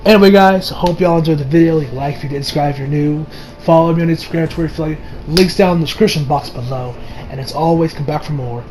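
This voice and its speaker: A young man giving a casual, rambling movie review as an excitable, slangy fan who trails off into asides. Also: fairly high pitch, fast talker, background noise. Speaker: video reviewer. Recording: music behind the voice. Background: music.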